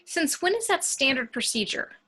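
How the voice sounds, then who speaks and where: A woman's voice: sits high, very animated, normal speed, bright, forceful tone, exaggerated voice. A woman reading a wary, challenging spaceship officer in an amateur table read, direct and questioning.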